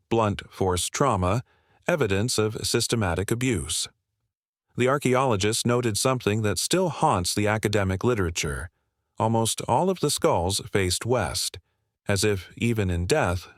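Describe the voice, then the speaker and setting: A man narrating a scripted history documentary in a grave, measured way, delivering short dramatic phrases. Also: lowish voice, lively intonation, no room sound, clean studio sound.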